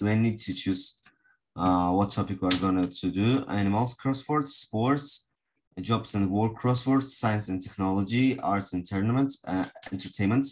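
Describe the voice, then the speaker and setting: Slow, low-pitched man teaching. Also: a little hiss or hum. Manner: halting, with long hesitations. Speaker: English teacher. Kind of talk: online group lesson, asking pupils questions. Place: online video call.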